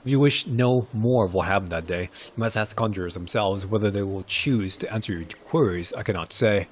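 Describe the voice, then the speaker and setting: A man voicing a weary, solemn old village elder who is gentle with children, speaking in grave, archaic phrasing as in fantasy game cutscenes. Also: on the low side, brisk, close to an everyday voice. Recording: clear background noise.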